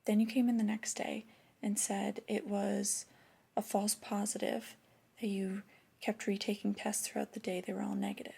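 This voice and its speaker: Breathy, soft-spoken flirty female boss, acted by a woman. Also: medium pitch, flat delivery. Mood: reproachful, skeptical. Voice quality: breathy, often whispery. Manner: slow, deliberate, intimate reprimanding. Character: flirty, disappointed female store boss scolding an employee. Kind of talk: soft-spoken ASMR roleplay.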